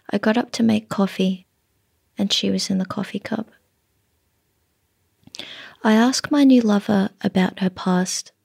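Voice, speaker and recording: A woman reading her own literary story in a measured way, often dropping to a breathy near-whisper. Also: medium pitch, a little mellow, dry recording, studio quality.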